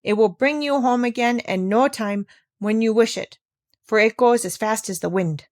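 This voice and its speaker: A woman voicing a brisk, impatient aunt who bosses and coaxes in a storybook. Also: on the high side, dry recording, clean studio sound, lightly acted. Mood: impatient, persuasive. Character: brisk, bossy, impatient adult aunt, coaxing a child.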